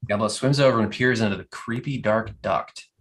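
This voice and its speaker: A narrator with a husky voice reading scripted stage directions at an animated comedy table read. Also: mid-range voice, brisk.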